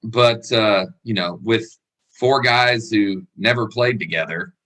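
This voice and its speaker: A man with a Texan accent, a country singer telling stories in a relaxed interview and laughing easily. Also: fairly low pitch, slow pace. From Texas. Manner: laid-back storytelling, laughs easily. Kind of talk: casual interview chat.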